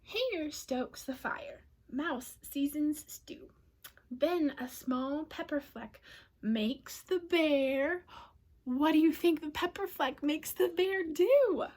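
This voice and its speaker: A woman reading a storybook aloud to children, expressively, often dropping to a hush and addressing her listeners. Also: sits high, slow pace. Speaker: storybook reader. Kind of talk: children's read-aloud narration.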